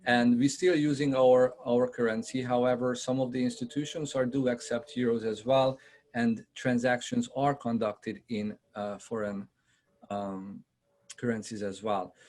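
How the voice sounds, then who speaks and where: A man from Hungary with a Hungarian accent, giving a conversational presentation on a video call, often pausing with fillers and asking his audience questions. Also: medium pitch, little pitch movement, darkish tone. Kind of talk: online presentation to realtors.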